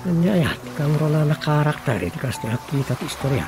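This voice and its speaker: A man narrating an Ilocano radio drama in a solemn, dramatic, drawn-out style. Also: fairly high pitch, big pitch swings, over music.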